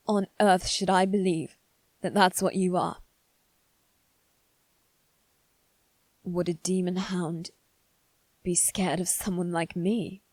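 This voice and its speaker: A woman voicing a guarded, haughty young noble boy in a scripted ASMR roleplay, with measured, formal phrasing. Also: mid-range voice, darkish tone, no room sound. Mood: suspicious, demanding. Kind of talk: ASMR roleplay, one-sided scripted dialogue.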